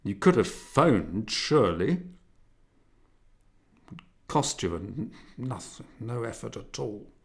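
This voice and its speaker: A man's voice: fairly low pitch, very animated, takes it slowly, gravelly, long pauses, heavily acted. A man reading a novel aloud as an indignant, reproachful middle-aged father grumbling at his son.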